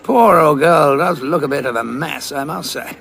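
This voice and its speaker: An actor with a British accent playing a weary, indignant old Time Lord warrior in an audio drama, gravelly, dry and sarcastic. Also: male voice, fairly high pitch, moderate pace, a bit bright, soft background music. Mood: curt, wry. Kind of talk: full-cast audio drama acting.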